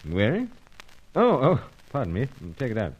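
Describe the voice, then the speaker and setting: An actor playing an understated, gallant upper-class British secret agent, with clipped, politely hesitant phrases in a radio spy serial style. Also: male voice, medium pitch, wildly swooping pitch, normal speed, breathy edge, muffled recording. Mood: flustered politeness. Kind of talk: old-time radio spy serial parody acting.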